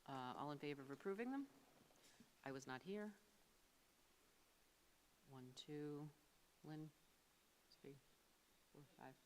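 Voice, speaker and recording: A woman with a low voice chairs a school board meeting. She talks in a casual, halting way, full of fillers. Faint noise sits behind the recording. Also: expressive, darkish tone, rough recording. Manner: casual, hesitant with many fillers. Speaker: board of education president.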